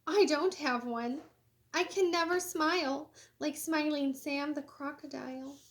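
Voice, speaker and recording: A woman's voice: high-pitched, steady tone, a little slow, pitched above the performer's own voice. A woman voicing a sad, wistful male snail with no teeth, in a mournful children's storybook delivery.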